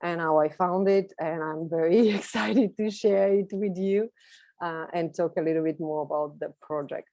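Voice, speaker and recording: A woman's voice: on the low side, moderate pace, dark tone, no echo, highs cut off. Woman, close dry sound. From Bulgaria. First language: Bulgarian. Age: 30s. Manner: chatty, enthusiastic, laughs lightly while talking. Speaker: guest speaker, photographer. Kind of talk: informal personal talk to an online group. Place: online video call.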